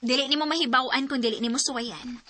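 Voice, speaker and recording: An actress playing a blunt, nagging but encouraging young best friend in a radio drama, with a Cebuano Filipino accent. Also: female voice, high voice, steady tone, fast talker, pushed and bright, dry recording. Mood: coaxing.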